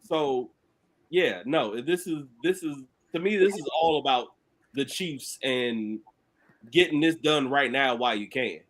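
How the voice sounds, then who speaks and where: A man in casual sports debate on a podcast, animated and slangy, cursing and laughing as he argues. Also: mid-range voice, measured pace. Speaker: podcast panelist.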